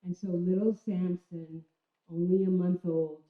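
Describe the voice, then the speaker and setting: A woman reading a literary memoir on stage in an expressive, measured storytelling manner, with deliberate dramatic pauses. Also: lowish voice. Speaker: reader of a literary memoir at a live storytelling event. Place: indoor stage venue. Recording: echoey room, dull lo-fi sound.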